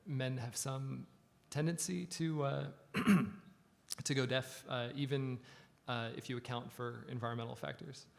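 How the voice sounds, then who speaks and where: A man's voice: medium pitch, steady tone, unhurried, creaky, big-room sound. A man panelist in an auditorium speaking hesitantly, with many restarts. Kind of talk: public panel discussion.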